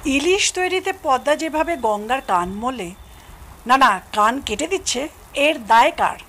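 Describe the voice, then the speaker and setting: An actress playing a genial Bengali mother-in-law in an audio drama, polite and gently teasing. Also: sits high, expressive, unhurried, full character voice. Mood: playful.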